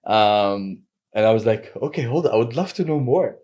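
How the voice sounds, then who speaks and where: A man's voice: fairly low pitch, moderate pace. Upbeat man hosting a podcast. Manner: upbeat, energetic interviewer. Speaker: podcast host. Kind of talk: interview hosting.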